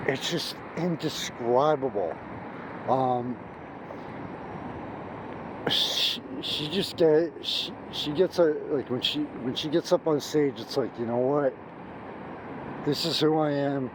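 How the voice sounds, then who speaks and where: A hoarse middle-aged man giving an emphatic, gushing music review, recorded outdoors on a city street at night, restarting his sentences often. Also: on the high side, takes it slowly, pauses often. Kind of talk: off-the-cuff video review. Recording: street noise and traffic behind.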